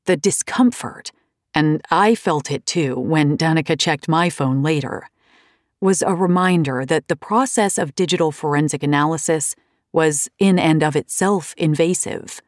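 A woman reading memoir narration in a measured, deliberate way. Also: sits low, no room sound, studio quality.

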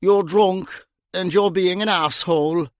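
A man doing Bunny, a loud, blunt bruiser. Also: sits high, steady tone, a little slow, dry recording, clean studio sound, pitched above the performer's own voice. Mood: scolding. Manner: loud, sharp, cutting. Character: blunt, forceful Dublin man in his prime.

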